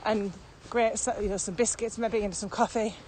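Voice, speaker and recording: Woman, casual podcast chat, normal pitch, bit of background noise. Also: normal speed, poor audio. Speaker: podcast co-host. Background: steady ambient noise.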